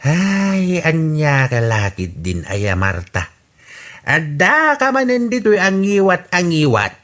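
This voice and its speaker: A man's voice: a little high, big pitch swings, takes it slowly, fairly bright tone, exaggerated voice. A man playing a blunt Ilocano village man. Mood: scolding. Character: blunt middle-aged village man Ramos. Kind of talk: radio drama acting.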